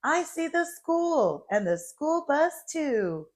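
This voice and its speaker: A woman voicing a little excitable piglet from a storybook, oinking and exclaiming plaintively. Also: sits high, takes it slowly, voice pushed up, full character voice. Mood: excited. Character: little distressed, excitable piglet who oinks.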